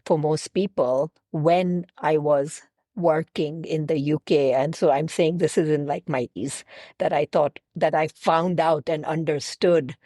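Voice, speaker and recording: A woman's voice: low-pitched, fairly even intonation, a little slow, mellow, no echo, studio quality. A woman from Kenya with an accent mixing British, American, Indian and Kenyan sounds, chatting as an interview guest who often repeats words as she starts a phrase. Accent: mixed British, American, Indian and Kenyan. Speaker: guest, travel planner. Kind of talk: casual interview chat.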